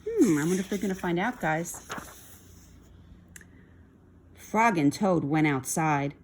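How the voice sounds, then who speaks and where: A woman reads aloud to children at an unhurried pace. Her voice sits a little low and moves expressively up and down through the story. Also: lively intonation, slight background noise.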